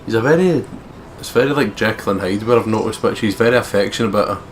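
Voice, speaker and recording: A man's voice: fairly low pitch, quick pace, slight background noise. A man from the United Kingdom hosting a let's play, reading a visual novel's narration aloud with casual asides. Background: game music.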